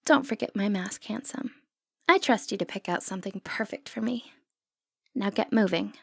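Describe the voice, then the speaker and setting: A woman's voice: mid-range voice, normal speed, vocal fry, slightly rough, dry recording, clean studio sound. A woman acting a sly, flirtatious thief in a fantasy ASMR roleplay, with a teasing, confident, wry way of talking. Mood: coy, businesslike.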